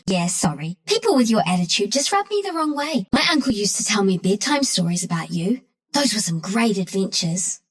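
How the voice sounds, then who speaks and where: Game role: scrappy young female forest critter, New Zealand accent, brash and mischievous, highish voice, very animated. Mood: defiant, menacing. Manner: brash, playful, slangy. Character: cheeky, scrappy young female woodland trickster creature with a tail. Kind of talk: video game character voice lines.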